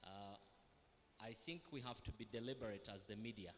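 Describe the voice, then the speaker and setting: A man on a panel, speaking deliberately with rhetorical questions. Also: fairly low pitch. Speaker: media executive panelist. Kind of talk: panel remarks. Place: large hall. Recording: reverberant hall.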